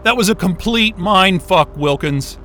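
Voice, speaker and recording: A high-voiced male astrophysicist acted by a man in a science-fiction audio drama, distressed and bewildered. He drags out his words slowly, stammering and swearing in anger. Faint music runs underneath. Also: animated, sharp tone, exaggerated voice. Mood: confused, angry. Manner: gasping, stammering, profane.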